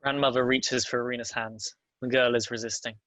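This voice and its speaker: A man's voice: medium pitch, little pitch movement, brisk, fairly bright tone, no room sound. A man reading stage directions as the narrator of a screenplay.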